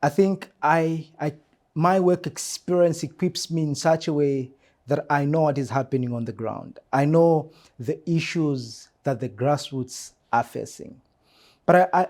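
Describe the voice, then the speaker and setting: A man with a Zambian accent giving earnest interview answers as a church mission secretary, measured and emphatic, repeating phrases for emphasis. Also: fairly high pitch, a little mellow.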